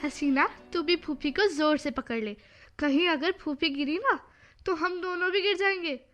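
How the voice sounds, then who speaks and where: An excitable little girl for radio drama, in a very high, ringing voice. She explains things with cocky childish certainty. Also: moderate pace, muffled recording, faint music behind. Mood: excited, scheming. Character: confident, know-it-all little girl, excitable chatterbox. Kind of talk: radio play acting.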